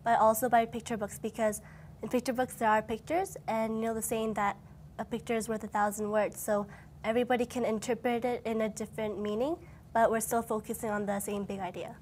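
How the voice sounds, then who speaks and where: An eighth-grade girl with a fairly high voice explains her ideas about a lesson thoughtfully. Faint room ambience sits behind her. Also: level tone, brisk, a little mellow, a little hiss or hum. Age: young teen. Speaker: student.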